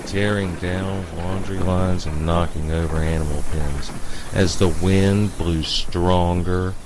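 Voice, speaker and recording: A man narrating a scripted spooky tale in a measured, dramatic storyteller's manner. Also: deep voice, fairly even intonation, background noise. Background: music.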